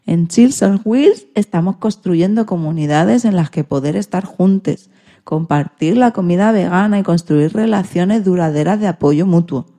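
A woman's voice: medium pitch, warm tone. A woman speaking as a presenter on a radio programme. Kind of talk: radio talk.

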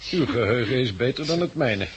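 An actor playing a friendly helicopter pilot recruiting survivors, with measured, courteous explanations in 1960s Dutch radio drama style. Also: male voice, mid-range voice, expressive, muffled recording, slight background noise, natural voice. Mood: informative, confident.

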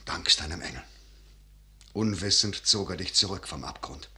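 Grave father-general, sweepingly expressive, bright. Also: low voice, moderate pace, slight background noise, exaggerated voice. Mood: grave, warning. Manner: solemn, emphatic, declamatory delivery. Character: grave older father and general, earnest, calculating. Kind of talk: classical German radio verse drama acting.

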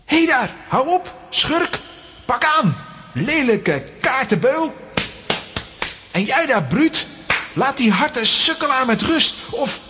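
A woman's voice: high-pitched, very animated, slow pace, long pauses, sharp tone, slight background noise, full character voice. An actress voicing a brave, hot-headed young boy in a children's audio drama. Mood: indignant.

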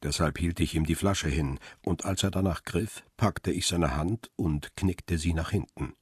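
A man's voice: sits low, measured pace, slightly rough, no room sound, studio quality, exaggerated voice. A man playing a world-weary paparazzo who narrates his own story soberly in a radio crime drama. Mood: sober, reflective. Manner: sober, reflective.

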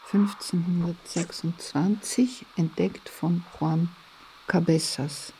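A woman's voice: on the low side, steady tone, slow pace, dark tone, slight background noise, low-quality recording. A woman performing a chronicler who recites dates factually in a radio drama. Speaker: announcer of historical dates. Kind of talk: scripted radio drama announcement.

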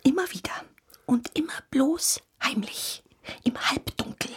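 An actress in a radio drama playing a prim, well-off middle-aged widow who gossips in a hesitant, insinuating and hushed manner. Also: female voice, high-pitched, a little slow, in a whisper. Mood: scandalized, insinuating. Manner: hesitant, insinuating, self-justifying.

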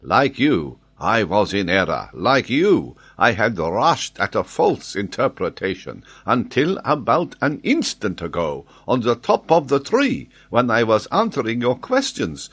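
A man voicing an excitable, triumphant French geographer who argues emphatically and draws out his key words. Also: medium pitch, unhurried, few pauses, breathy edge, voice pushed up, heavily acted. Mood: excited, insistent.

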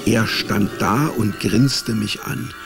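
An actor playing a wry, weary private detective, narrating his own story in a dry, laconic, deadpan way for a radio drama. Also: fairly low pitch, slow pace, gravelly, over music. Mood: wry, dreamlike. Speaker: narrator and lead character. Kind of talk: hard-boiled first-person radio drama narration.

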